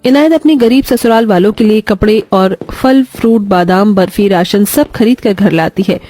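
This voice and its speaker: A woman's voice: mid-range voice, moderate pace, warm tone, no room sound. A woman narrating a scripted moral story as a storyteller. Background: faint music.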